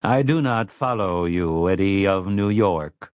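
A man's voice: low voice, expressive, unhurried, clear, resonant tone, no room sound. A man voicing a smug, polite male robot with stiff, formal, old-fashioned phrasing in an audiobook. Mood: smug, eager. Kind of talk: audiobook character voice.

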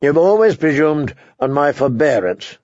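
A man voicing a stern, bitter, unbending king in an audiobook, gravelly and husky, with a commanding, humorless manner. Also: on the high side, big pitch swings, a little slow, darkish tone, dry recording, muffled recording. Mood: menacing.

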